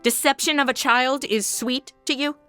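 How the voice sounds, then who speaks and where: A woman's voice: high voice, steady tone, moderate pace, fairly bright tone, clean tone, soft background music. A woman playing a sassy, sceptical commentator in a comedy audio drama, sarcastic and argumentative.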